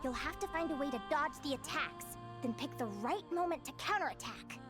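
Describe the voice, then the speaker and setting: A woman's voice: sits high, expressive, a little fast, music in the background. A woman voicing an upbeat, determined young monster tamer who talks in an earnest, encouraging way.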